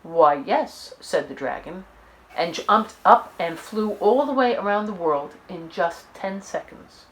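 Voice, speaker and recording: A woman's voice: medium pitch, lively intonation, unhurried, rough recording. A woman storyteller reading a children's book aloud, expressively and with dramatic emphasis.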